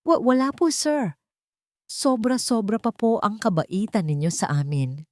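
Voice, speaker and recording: A woman acts out an elderly male maintenance worker from an audio drama, servile and guilt-ridden. He stammers as he pleads, deferential to his boss, in a pitch that often lifts high. The recording is close and dry. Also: sing-song, unhurried, darkish tone, dry recording. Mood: nervous, respectful.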